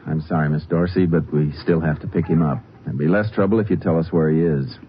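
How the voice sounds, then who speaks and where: A methodical, stern ranger in a vintage radio crime drama. His voice is very low and his delivery firm and matter-of-fact. The recording is muffled with age. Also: a little fast, a little hiss or hum. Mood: calm, firm. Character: stern, methodical male Texas Ranger lawman, narrating his case. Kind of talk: old-time radio crime drama acting.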